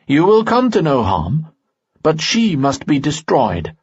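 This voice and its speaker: A man's voice: on the high side, wildly swooping pitch, unhurried, a little mellow, breathy edge, no room sound, pitched above the performer's own voice, full character voice. A man putting on a menacing undead spirit. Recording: dull lo-fi recording.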